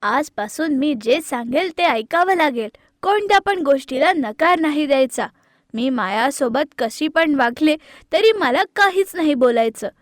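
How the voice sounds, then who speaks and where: A high-pitched, spoiled little sister played by a woman from a cartoon moral tale. She is loud and demanding, pushing out threats like a bully, recorded close and dry. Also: lively intonation, a little slow, no echo. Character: spoiled, bullying, tantrum-throwing younger sister, a girl. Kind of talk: animated moral story acting.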